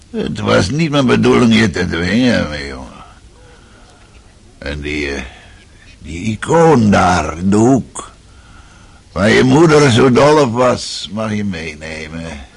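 An actor playing an aging, miserly, lecherous Russian father in a radio drama, self-pitying and rambling, with a gravelly, husky voice. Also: on the low side, full character voice. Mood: weary, sentimental. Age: older. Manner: rambling, muttering, with sighs and grunts.

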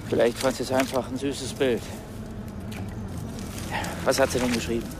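Gravelly, deadpan man played by an actor. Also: mid-range voice, moderate pace, noisy background, close to an everyday voice. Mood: laconic. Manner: laconic, deadpan. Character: dry, unruffled man calming his friend. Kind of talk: naturalistic radio drama dialogue.

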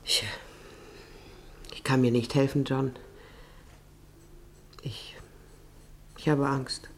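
An actress playing a middle-aged farmer's wife and mother in a German radio drama, anxious, gentle and hesitant. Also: very deep voice, flat delivery, extremely slow, a little hiss or hum, heavily acted. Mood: worried. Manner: hesitant, pleading.

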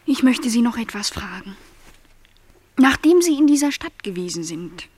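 An actress playing an earnest, lonely, vulnerable young housemaid in a literary radio drama, breathy and softly insistent as she questions. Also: fairly high pitch, sing-song, normal speed. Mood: anxious, intent. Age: young adult. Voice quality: breathy, often near a whisper.

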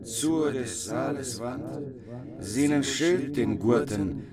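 A man's voice: mid-range voice, expressive, slow, a loud crowd. A man reciting archaic epic verse solemnly. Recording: studio voice over loud music.

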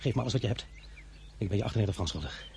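A fiery, ambitious young general. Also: male voice, lowish voice, expressive, vocal fry, darkish tone, a little hiss or hum. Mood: resolute. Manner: proud, commanding, quick to flare up. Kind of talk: radio play acting.

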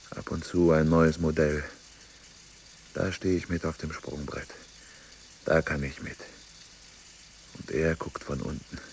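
An actor playing a status-hungry male employee at the gym, gravelly and brooding, in a radio drama monologue. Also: a little hiss or hum, exaggerated voice. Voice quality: gravelly, very deep.

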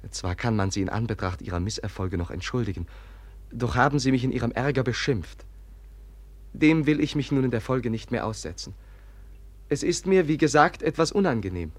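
A man playing a gentle, naive young Russian prince in a 1950s German radio drama, speaking politely and earnestly. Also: medium pitch, close to an everyday voice. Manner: polite, earnest, deliberate, formal.

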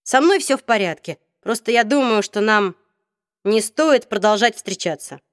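A woman reading an audiobook, voicing a calm, wry teenage vampire boy in a lowered, easygoing voice. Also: normal speed, no echo, studio quality, natural voice. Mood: serious.

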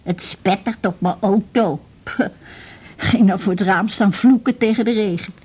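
A woman's voice: mid-range voice, darkish tone, slightly rough. An actress playing a frail, talkative old Dutch widow, a patient clinging to her house, in a radio drama. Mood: indignant. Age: older. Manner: chatty, plaintive, with halting repetitions.

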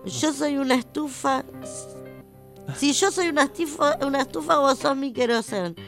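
A woman's voice: high voice, normal speed, vocal fry, a bit bright, faint music behind. A woman with a Rioplatense Argentine accent co-hosting, trading playful lines in a radio sketch. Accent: Rioplatense Argentine Spanish. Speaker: radio co-host.

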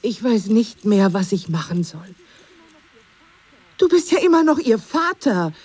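An actress playing a worn-out, embittered single mother with a husky voice in a radio drama. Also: mid-range voice, sing-song, measured pace, darkish tone. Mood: troubled, pleading.

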